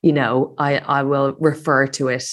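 A woman's voice: rumbling low, level tone, moderate pace. An Irish woman guest in casual chat, talking freely with frequent restarts and laughs. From Ireland.

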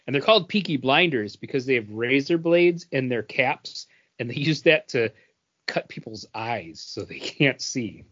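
A man co-hosting a casual geek podcast, wandering through his thoughts with plenty of ums and restarts. His voice sits in an ordinary middle pitch, and the recording sounds dull and lo-fi. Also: animated, normal speed, fairly bright tone. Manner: rambling, many fillers and restarts. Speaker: podcast co-host. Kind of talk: casual chat.

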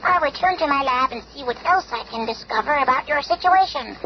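Tiny insect-sized hero, squeaky sped-up voice, measured and formal, lo-fi radio play. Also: male voice, animated. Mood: calm, helpful. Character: tiny, calm, helpful insect-sized male superhero scientist. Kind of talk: radio superhero drama acting. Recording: dull old radio, faint noise.

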